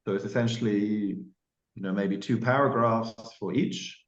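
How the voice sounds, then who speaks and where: A man explaining the application requirements at an online information session, measured and peppered with "you know" asides. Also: lowish voice, fairly even intonation. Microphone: video call. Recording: roomy, muffled call audio.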